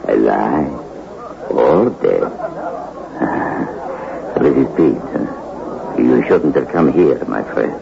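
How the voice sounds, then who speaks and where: A man playing a possessive gangster boss in a 1960s radio thriller, mock-friendly and menacing, with drawn-out, hesitating speech. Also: very deep voice, expressive, creaky, heavily acted. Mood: mock-friendly menace. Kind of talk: 1960s radio suspense acting. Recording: dull, noisy old radio recording.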